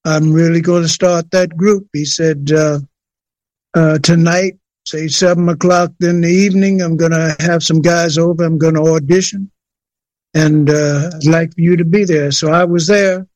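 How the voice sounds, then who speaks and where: An older man from New Jersey, a singer, telling his story in an interview with many pauses and restarts. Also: fairly high pitch, fairly even intonation, darkish tone, no room sound. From USA, New Jersey. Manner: hesitant, many fillers and restarts. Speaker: guest singer.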